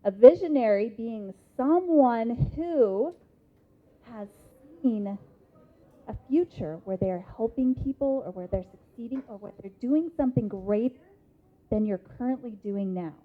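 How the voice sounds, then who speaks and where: Motivational speaker, female, 30s, American, slightly high pitch, deliberate and emphatic, muffled phone-like hall sound. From Idaho, United States. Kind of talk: interactive motivational talk to a live audience. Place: conference hall. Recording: muffled lo-fi live hall recording.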